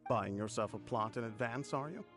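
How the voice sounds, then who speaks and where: A man's voice: medium pitch, fairly quick, natural voice. A man playing a loyal, easygoing henchman in an anime video game, with a dryly teasing manner. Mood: wry, teasing. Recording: background music under dialogue.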